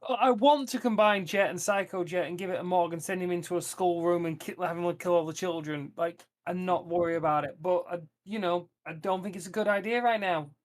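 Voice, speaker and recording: A man's voice: high voice, brisk, hardly any pauses. A man talking casually as a player in a tabletop roleplaying game. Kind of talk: casual chat.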